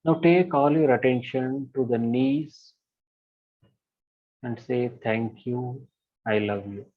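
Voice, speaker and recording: Slow, gentle male meditation guide. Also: mid-range voice. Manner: slow, gentle instructions with soft repeated affirmations. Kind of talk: guided body-scan meditation. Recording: dull lo-fi recording.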